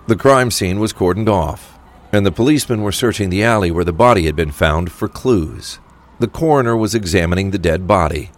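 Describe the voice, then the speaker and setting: A man narrating a scripted crime audio drama. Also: fairly low pitch.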